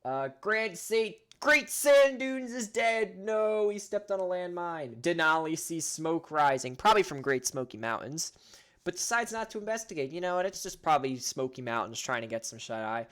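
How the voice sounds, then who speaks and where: A fairly high-voiced American man with a northern US accent reads game results aloud, joking as he goes. His delivery is loose and offhand, and he trips over names now and then. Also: lively intonation, moderate pace, fairly bright tone, clean tone. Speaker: gaming channel host. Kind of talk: casual commentary reading game events aloud.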